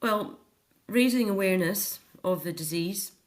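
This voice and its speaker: A woman's voice: mid-range voice, a little slow. A middle-aged Scottish woman talking earnestly and reflectively as a guest in a personal interview. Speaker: interview guest, author and care home volunteer.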